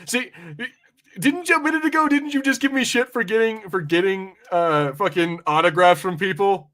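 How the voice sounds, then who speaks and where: A man's voice: high voice, moderate pace, sharp tone. A young adult man in casual podcast banter, brash and teasing, swearing freely as he talks. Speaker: podcast co-host. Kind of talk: casual watch-party banter.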